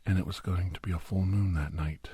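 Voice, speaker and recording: A man tells a horror story in breathy ASMR whispers, solemn, dramatic and deliberate, close to the microphone. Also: sits low, fairly even intonation, warm tone, dry recording. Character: male doctor solemnly recounting a werewolf horror tale.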